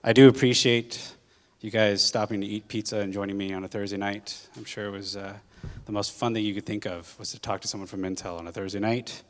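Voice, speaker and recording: Man from Hawaii, low voice, casual guest-speaker talk full of fillers, jet-lagged, lingering hall reverb. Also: fairly even intonation, brisk. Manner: conversational, with many filler ums and uhs. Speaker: guest speaker, corporate general manager. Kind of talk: casual public talk to students.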